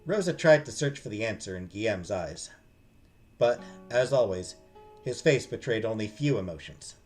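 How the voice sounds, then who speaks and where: A man's voice: lowish voice, lively intonation, moderate pace, a little mellow. A man narrating a visual novel aloud in a dramatic storytelling style as a game streamer. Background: music.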